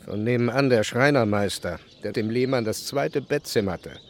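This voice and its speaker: An actor playing a resigned, philosophical, unhurried house painter in a radio drama. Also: male voice, mid-range voice, natural voice. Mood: resigned, musing. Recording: faint outdoor birdsong noise.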